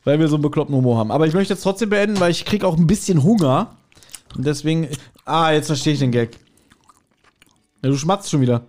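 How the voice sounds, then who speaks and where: Lockerer Podcaster mit eher hoher Männerstimme. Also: male voice, fairly high pitch, animated, fast, a little mellow. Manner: chatty, self-mocking, jokey. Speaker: podcast host. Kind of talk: casual, joking podcast chat.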